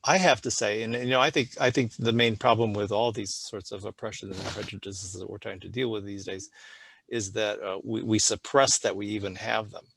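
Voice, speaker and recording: An older man hosting a casual group conversation over a video call, thoughtful and halting, often restarting his sentences. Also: fairly low pitch, steady tone, vocal fry, fairly bright tone, slightly rough. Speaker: podcast host.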